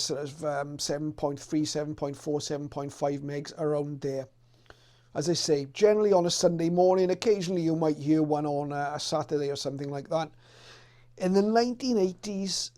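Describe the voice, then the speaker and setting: A middle-aged man with a voice a little higher than most. He talks casually to his viewers as a hobbyist looking back, pausing and feeling his way through in a thoughtful manner. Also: dark tone. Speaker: radio hobbyist presenter. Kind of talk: casual reminiscing explainer to viewers.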